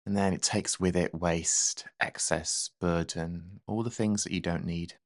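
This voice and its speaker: Man, meditation guide, very slow pace, soothing delivery, dry recording. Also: deep voice, fairly even intonation, no echo. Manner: gentle, unhurried, soothing delivery.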